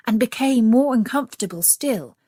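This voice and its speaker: A woman narrating a scripted children's story in a measured, expressive manner. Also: on the high side, slightly rough, dry recording, studio quality.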